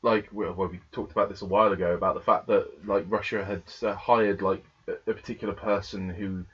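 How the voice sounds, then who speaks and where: A man with a husky voice chats casually as a podcast co-host. His delivery is hesitant and jokey, and the recording is somewhat dull. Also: on the low side, fairly even intonation, fairly quick. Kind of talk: casual chat.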